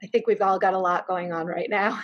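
A woman's voice: medium pitch, fairly even intonation, brisk, highs cut off. A woman in her thirties facilitating a reflective group discussion over a video call. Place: living room, video call. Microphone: computer mic on video call.

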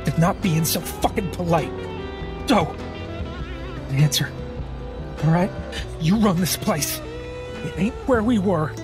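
A man's voice: sits high, takes it slowly, pauses often, music in the background. Man playing an angry, menacing, foul-mouthed doctor. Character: angry, menacing male doctor demanding answers.

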